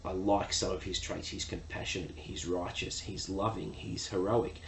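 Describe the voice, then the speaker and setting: A man's voice: deep voice, level tone, creaky. A man getting over a cold, sounding nasal, giving a casual book review with many fillers. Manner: enthusiastic, measured, frequent uh fillers. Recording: echoey room with faint ambient noise.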